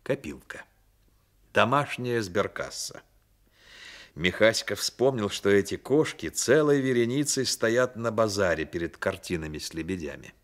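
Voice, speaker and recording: A man playing the grave, attentive storyteller in a radio drama, narrating in an expressive, deliberate way. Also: fairly low pitch.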